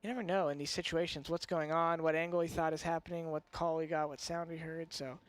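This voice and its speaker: A young man with a high, slightly nasal voice, a former pro player giving analytical esports color commentary and thinking aloud. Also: level tone, fast talker, clean tone. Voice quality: high-pitched, nasal when going low. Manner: analytical, chatty, second-guesses himself aloud. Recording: game gunfire behind the commentary. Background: game sounds, gunfire.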